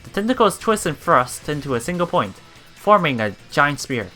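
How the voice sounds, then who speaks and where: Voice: medium pitch, sing-song, moderate pace, sharp tone, soft background music. A let's play streamer reading game text aloud with casual reactions, often stumbling over words and repeating them.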